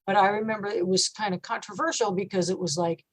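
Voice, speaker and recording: Woman, metal vocalist, low voice, relaxed interview talk, lots of "you know" and restarts. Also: quick pace. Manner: relaxed, thoughtful, with frequent fillers and restarts. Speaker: metal singer and guest. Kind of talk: casual interview chat.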